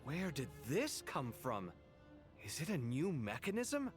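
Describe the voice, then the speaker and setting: An actor playing a keen, practical mechanic in a video game, expressive and inquisitive in delivery. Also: male voice, mid-range voice, measured pace, faint music behind. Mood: curious, eager.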